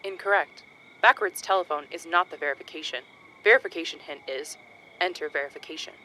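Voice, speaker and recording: An impassive female data-pad assistant from a sci-fi audio drama. She is fairly low in pitch and delivers clipped, procedural prompts without feeling, over noticeable background noise. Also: fairly quick, sharp tone, low-quality recording.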